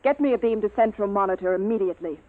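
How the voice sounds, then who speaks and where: A woman's voice: medium pitch, rapid-fire, over music, natural voice. An actress playing a decisive woman military commander in a 1950s radio drama style. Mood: commanding. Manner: commanding, clipped orders. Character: female military base commander, decisive and authoritative. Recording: muffled, tinny vintage radio recording.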